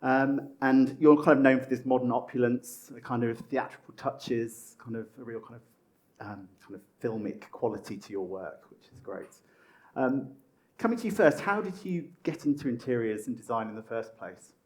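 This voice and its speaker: A man's voice: medium pitch, moderate pace. A man, a magazine editor hosting a design panel, chatty and full of fillers. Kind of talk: moderating a panel. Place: stage at a design event.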